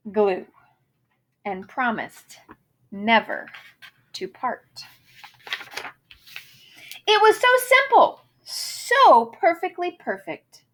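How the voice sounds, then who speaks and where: A woman's voice: high-pitched, a bit bright. A woman reading a children's picture book aloud in a theatrical manner, savoring the rhymes and wordplay with dramatic emphasis. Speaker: storybook reader.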